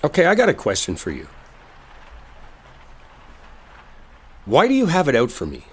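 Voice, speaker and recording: A man's voice: on the high side, wildly swooping pitch, fast talker, slightly rough, heavily acted. A man playing an exasperated, defensive coworker who is secretly smitten, in an emotional roleplay audio drama. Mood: angry, exasperated. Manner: emotional, pleading, emphatic, conversational. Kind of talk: roleplay audio drama, intimate one-sided dialogue.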